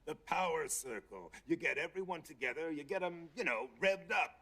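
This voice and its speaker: A man voicing a gruff, bossy, wisecracking living dummy in a TV drama. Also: fairly high pitch, animated, moderate pace, bright, forceful tone, exaggerated voice. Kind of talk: TV drama acting.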